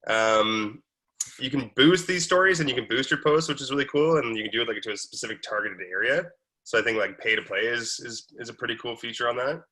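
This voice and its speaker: Raspy, bright-voiced man. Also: medium pitch, expressive, brisk. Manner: chatty, many likes and ums. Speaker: real estate agent guest. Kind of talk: casual talk on a call.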